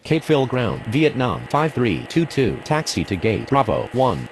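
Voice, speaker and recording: Air traffic radio operator, gravelly, medium pitch, lively intonation, businesslike game dialogue. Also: male voice, unhurried. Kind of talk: scripted aviation radio phraseology.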